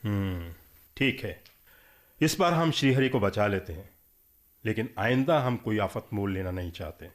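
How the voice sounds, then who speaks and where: A man's voice: lowish voice, expressive, normal speed, pauses often, close to an everyday voice. A man playing a lordly, weary landlord. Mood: pondering, weary. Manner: authoritative, deliberating. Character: weary, lordly landlord, irritated by estate troubles. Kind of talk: Hindi radio drama acting.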